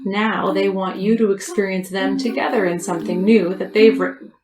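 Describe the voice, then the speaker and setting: A woman's voice: mid-range voice, fairly even intonation, normal speed, echoey room, a crowd in the background. A woman co-hosting a podcast, reading a scripted introduction. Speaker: podcast co-host.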